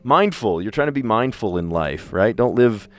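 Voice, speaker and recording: A man's voice: fairly low pitch, animated, moderate pace, faint music behind. A man from the US East Coast with a general American accent, hosting a puzzle livestream and chatting casually as he thinks aloud, with careful diction.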